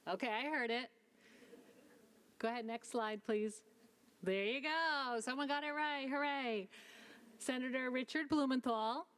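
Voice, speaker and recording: A woman's voice: sits high, unhurried, long pauses, fairly bright tone. A woman hosting a citizenship ceremony in a school hall, encouraging and upbeat. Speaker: ceremony host.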